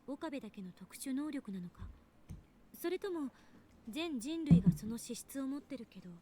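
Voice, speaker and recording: A cool, analytical young woman scientist from a visual novel. Her high, mellow voice muses quickly and matter-of-factly, with echo on the game audio. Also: lively intonation, slight background noise. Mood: pondering. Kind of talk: Japanese visual novel voice acting.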